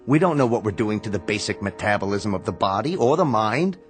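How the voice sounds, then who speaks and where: An actor playing a blunt, exasperated physician in a radio drama, speaking emphatically and articulately with a sardonic edge. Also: male voice, on the low side, animated, a little fast, faint music behind, lightly acted. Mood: urgent, adamant. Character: blunt, exasperated younger brother, a physician researcher.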